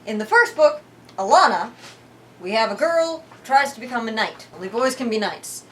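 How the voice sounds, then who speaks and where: A woman leads a book talk in a fairly high voice. She narrates and explains with animated, theatrical emphasis, like a dramatic reader. The microphone is slightly distant. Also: normal speed, a little hiss or hum. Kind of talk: dramatic book reading and discussion.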